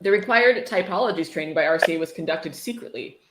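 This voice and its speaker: A woman's voice: on the low side, fast talker, a bit bright. A woman with a dry, sarcastic manner, reading a book aloud and adding casual commentary. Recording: roomy echo, faint noise.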